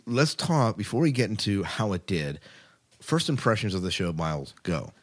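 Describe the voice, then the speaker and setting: A man's voice: lowish voice, big pitch swings, normal speed. A man hosting a podcast in a casual, informal, rambling way. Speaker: podcast host. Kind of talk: casual sci-fi podcast talk.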